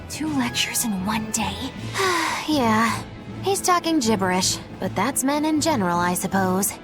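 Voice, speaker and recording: High-voiced, deadpan sarcastic young woman. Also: slow, pauses often, over music. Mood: bored, sarcastic. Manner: deadpan, weary, drawling sarcasm. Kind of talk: English-dubbed anime game cutscene acting.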